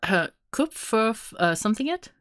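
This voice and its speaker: Playful, lively-voiced woman co-host. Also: a little high, normal speed. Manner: playful, laughs often, guesses aloud. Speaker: podcast co-host. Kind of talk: casual chat.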